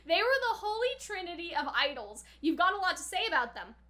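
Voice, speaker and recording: High, bright-voiced woman chatting casually. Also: sing-song, fairly quick. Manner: informal, speculative, frequent "like" and "right?". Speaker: reaction video host. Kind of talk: casual anime reaction and commentary.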